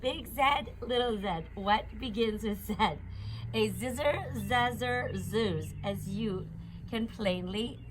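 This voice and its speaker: A woman's voice: on the high side, slow pace. A woman hosting a children's storytime, reading a picture book aloud outdoors in a sing-song, repetitive way. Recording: noisy outdoor ambience.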